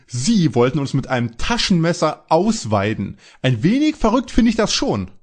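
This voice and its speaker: Man playing a high, husky boy detective. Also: big pitch swings, normal speed. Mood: earnest, skeptical. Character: high-voiced anxious teenage boy detective. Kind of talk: parody audio drama acting.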